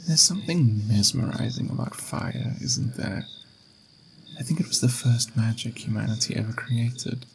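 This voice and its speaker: A man playing a patient, gentle, mysterious ageless being in an ASMR roleplay, speaking in an unhurried, philosophical, reassuring way with a gravelly, creaky voice. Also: lowish voice, slight background noise, poor audio. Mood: soothing, inviting. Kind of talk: ASMR roleplay, slow intimate monologue.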